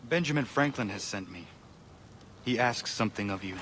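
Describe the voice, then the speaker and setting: An actor playing a determined warrior hero who speaks tersely. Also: male voice, on the low side, normal speed, fairly bright tone, a little hiss or hum, natural voice. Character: resolute male warrior protagonist, terse.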